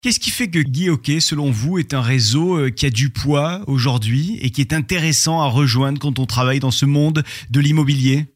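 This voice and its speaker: A man hosting a podcast, asking formal, measured interview questions with brief hesitations. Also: mid-range voice, dry recording, studio quality.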